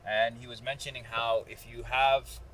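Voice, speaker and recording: A man with a bright, forceful voice talks casually as he hosts a ride-along vlog. He explains things in a slangy, joking way, with some car noise around him. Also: mid-range voice, level tone, normal speed, low-quality recording. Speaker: host of a ride-along video. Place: inside a moving car. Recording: clear car and outdoor noise. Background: car and road noise.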